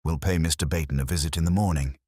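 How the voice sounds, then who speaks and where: A man voicing a courteous, quietly incisive police inspector in a detective story, formal and measured. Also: rumbling low, fairly even intonation, slightly rough, dry recording, clean studio sound. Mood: measured, dry.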